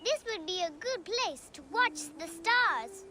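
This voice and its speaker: A woman's voice: very high-pitched, expressive, takes it slowly, heavy background noise, exaggerated voice. A woman playing a bold, playful young child who adores an uncle, in a video game. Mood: cheeky. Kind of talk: video game dramatic acting.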